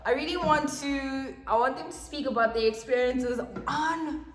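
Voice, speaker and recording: A woman in her twenties with a South African accent, chatting in an animated, slangy way as a vlog host. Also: high-pitched, moderate pace, faint music behind. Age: 20s. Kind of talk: casual chatty storytelling to camera. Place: small plain room indoors, seated with two others. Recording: echoey room with long reverb.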